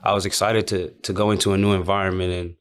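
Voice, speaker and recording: A man's voice: sits low, level tone, fast talker. A young man from South Sudan and Sydney chatting casually and reflectively as a guest in an interview. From South Sudan and Sydney, Australia. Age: young adult. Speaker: professional basketball player, interview guest.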